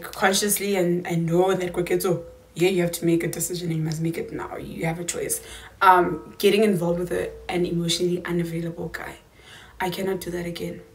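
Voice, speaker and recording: A twenty-year-old woman with a South African accent, chatting emphatically to camera in a casual Q&A vlog from a small room. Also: fairly low pitch. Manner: chatty, emphatic, slangy asides. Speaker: YouTuber.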